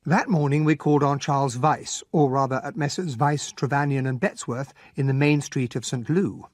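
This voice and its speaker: An actor playing an earnest, observant English gentleman, a detective's companion, narrating and talking in a radio drama with a British accent. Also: medium pitch, steady tone, normal speed, no room sound, studio quality, close to an everyday voice. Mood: measured narrating. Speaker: narrator and sidekick.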